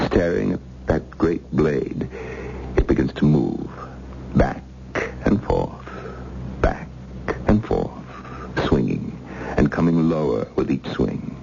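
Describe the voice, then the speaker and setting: A man playing a suave, menacing villain in old-time radio thriller style, mock-polite and drawn-out, theatrically taunting. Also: very deep voice, gravelly, dark tone, full character voice. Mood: hypnotic menace. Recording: dull, hissy old radio recording.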